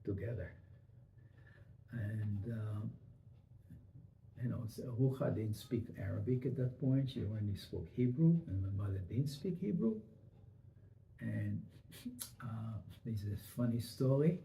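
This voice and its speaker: An older man from Israel telling his family history in an oral history interview, halting and full of fillers and restarts. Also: fairly low pitch, expressive, creaky, mellow. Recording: echoey room, faint noise.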